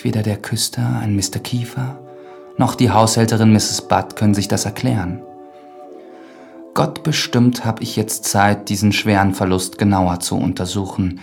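An actor playing an earnest, pious priest, reading his journal in a measured, formal way in a radio drama. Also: fairly low pitch, vocal fry, a little mellow. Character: earnest, pious priest investigating a death, medieval-style world. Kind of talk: scripted radio drama monologue, journal narration. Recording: music behind the voice.

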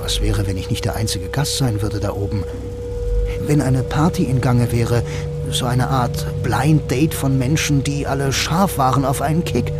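A man's voice: medium pitch, close to an everyday voice. An actor playing a blind bank clerk who narrates his own story in a dry, laconic, musing way for a radio drama. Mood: uneasy, wry. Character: blind male bank clerk, wry film noir loner.

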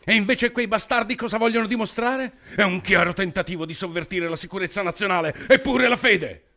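An actor playing a bullying, foul-mouthed government official, self-satisfied and bloodthirsty, with emphatic, deliberate repetitions in a theatrical radio drama. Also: male voice, sits high, pushed and bright. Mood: indignant, venomous.

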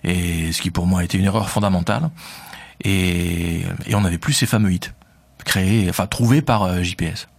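A man with a Parisian accent, a radio host interviewed about his career, talking quickly with many fillers. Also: deep voice, husky. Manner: fast, hesitant with many fillers. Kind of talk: conversational interview.

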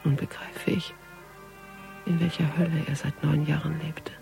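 An actress playing a weary, grieving woman police investigator, brooding in a hushed, intimate German radio crime drama. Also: low voice, little pitch movement, measured pace, over music. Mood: bitter, weary. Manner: hushed, breathy, half-whispered.

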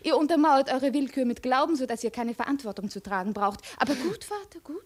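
A proud young princess in a vintage radio play. Her voice is high and swings expressively as she argues wilfully and hands out commands. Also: animated, a little fast. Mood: defiant. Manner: imperious, argumentative, crisp commands. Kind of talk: 1950s German radio play acting.

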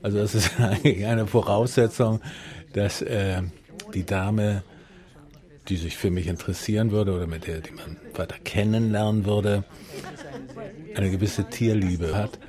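An actor playing a hesitant, good-natured older man from Hamburg on a date, halting and self-deprecating, in a naturalistic radio drama. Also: fairly low pitch, fairly even intonation, mellow, close to an everyday voice. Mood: hesitant, easygoing. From Hamburg, Germany.

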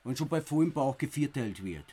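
An actor playing a grim, self-assured survivalist villager in a radio play, blunt and colloquial, with an Austrian accent. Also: male voice, mid-range voice, expressive, brisk, warm tone, slightly rough. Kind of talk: naturalistic radio play dialogue.

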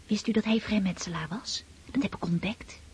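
An actress playing a dreamy, vain and impulsive teenage daughter of an aristocratic family in a radio drama. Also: female voice, mid-range voice, fairly quick, darkish tone, breathy edge. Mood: playful, dreamy. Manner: girlish, whimsical, impulsive.

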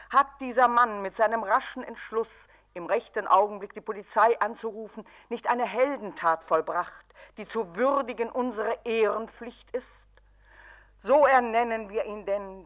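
A woman's voice: medium pitch, fairly bright tone, natural voice. A woman playing a pompous, gushing women's club chairwoman, declaiming solemnly in a vintage German radio comedy. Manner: declamatory, solemn speech-making with rhetorical questions. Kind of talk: 1950s German radio play oratory.

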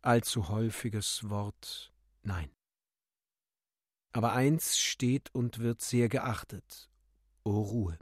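A man giving a solemn, declamatory and deliberate dramatic recitation of literary text for a radio play. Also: lowish voice, dry recording, studio quality. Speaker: narrator and reciter.

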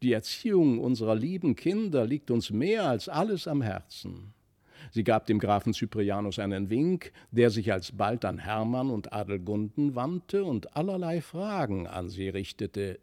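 A man narrating a literary fairy tale as an expressive audiobook reader. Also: medium pitch.

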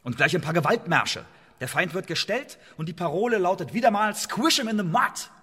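A man acting in a radio play as an articulate, loyal imprisoned English agent and playwright, speaking in measured, formal, persuasive phrases. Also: sits high, lively intonation, sharp tone, slightly rough, full character voice. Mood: animated.

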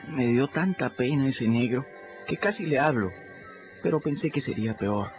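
A man's voice: lively intonation, normal speed. A man narrating in first person for a radio drama, earnest and reflective. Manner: earnest, reflective, rises in pitch when moved. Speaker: narrator voicing a boy. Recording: muffled radio audio with music.

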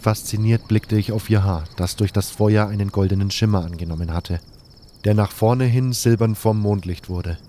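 A man's voice: lowish voice, fairly even intonation, moderate pace, warm tone, a little hiss or hum. A man narrating a scripted first-person story for an audio drama.

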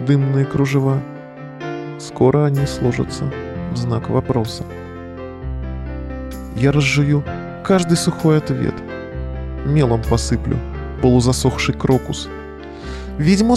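A man's voice: medium pitch, lightly acted. A male actor playing a weary, sardonic bohemian in an audio play, delivering a verse monologue over the phone in an ironic, declamatory way. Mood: brooding.

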